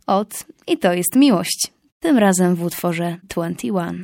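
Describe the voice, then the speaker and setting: A woman's voice: on the low side, animated, unhurried, gravelly. A young woman presenting music in a scripted, reflective way. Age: young adult. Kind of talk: scripted music show narration.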